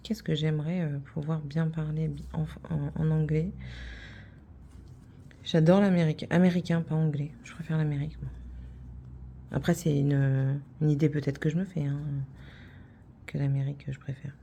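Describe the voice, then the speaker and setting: A woman's voice: sits low, little pitch movement, moderate pace, gravelly, long pauses, mellow, a little hiss or hum. A middle-aged woman from France, hosting a craft channel and chatting casually, rambling with many fillers and restarts.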